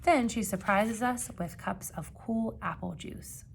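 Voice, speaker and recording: A woman reading a children's picture book aloud like a teacher, with expressive emphasis and questions put to young listeners. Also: medium pitch, gravelly, a little hiss or hum. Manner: expressive, dramatic gasps and emphasis, pausing to ask questions.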